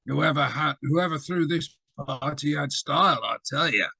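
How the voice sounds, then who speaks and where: A man's voice: fairly high pitch, a little slow, vocal fry, bright, forceful tone, slightly rough, no room sound, full character voice. A man voicing a hissing, chuckling spirit trapped in a guitar case, whispering his lines. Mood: amused.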